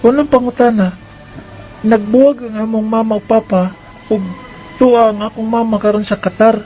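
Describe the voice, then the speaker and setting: A woman's voice: fairly high pitch, steady tone, normal speed, muffled recording, soft background music, close to an everyday voice. Woman doing an anxious young daughter. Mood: narrating. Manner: questioning, anxious.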